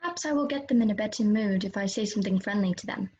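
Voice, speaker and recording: A woman's voice: medium pitch, quick pace, a little mellow, lightly acted. A woman playing a curious, polite but easily exasperated little girl in a children's read-aloud play. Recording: roomy, slightly dull sound.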